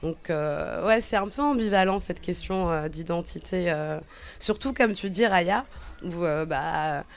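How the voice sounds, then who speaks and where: A woman's voice: fairly low pitch, a little slow, vocal fry. A woman in her thirties, born in Cabinda, speaking reflectively and hesitantly as an interview guest, with many fillers and restarts. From Cabinda, Angola. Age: 30s. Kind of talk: personal testimony in conversation.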